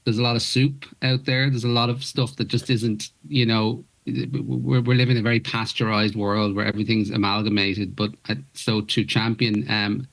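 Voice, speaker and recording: Earnest Irish man, halting restarts. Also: fairly low pitch, little pitch movement, vocal fry, a bit bright, low-quality recording. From Ireland. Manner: hesitant, many restarts, earnest. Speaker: actor. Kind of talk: radio interview guest.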